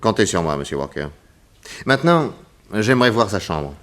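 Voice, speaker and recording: Composed detective in a 1960s French radio serial: lower register, courteous, methodical questioner. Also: male voice, big pitch swings, moderate pace, fairly bright tone. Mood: thoughtful. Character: composed, probing male private detective in a crime serial.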